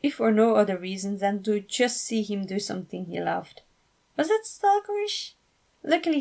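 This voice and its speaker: A woman narrating a fan fiction story in an expressive amateur reading style. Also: mid-range voice, creaky.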